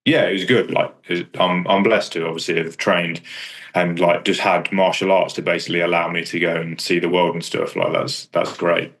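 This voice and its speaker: A man with a very low, deep voice talks casually in an interview. His delivery is flat and understated, wandering through his thoughts with a self-deprecating modesty. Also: moderate pace. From England. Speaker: kickboxer and striking coach. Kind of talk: casual interview chat about own career.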